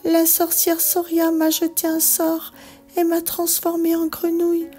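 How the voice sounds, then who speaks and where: A woman voicing a kind young fairy-tale princess, gentle, earnest and full of feeling. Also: extremely high pitch, completely flat delivery, a little slow, faint music behind, pitched above the performer's own voice. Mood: sad. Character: kind young princess, turned into a small frog.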